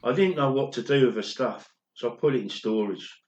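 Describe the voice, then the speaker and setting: A man's voice: mid-range voice, brisk, darkish tone, highs cut off, lightly acted. Guy acting as older washed up pop drummer telling his story, wistful, echoey room, radio play. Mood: regretful. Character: ageing former pop drummer confessing an old secret, regretful. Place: recorded alone at home, indoors. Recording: roomy home recording.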